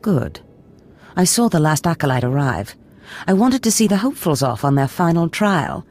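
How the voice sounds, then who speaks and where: A woman's voice: low voice, sing-song, normal speed, darkish tone, exaggerated voice. An actress voicing a scheming female Sith lord who speaks in a languid, commanding way. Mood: imperious. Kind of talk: game voice acting.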